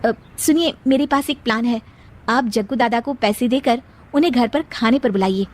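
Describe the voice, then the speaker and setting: Young daughter-in-law acted by a woman, high pleading voice, worried but resolute, moral tale. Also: normal speed, a little mellow, natural voice. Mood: eager, persuading. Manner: pleading, exclaiming. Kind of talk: moral story character voice.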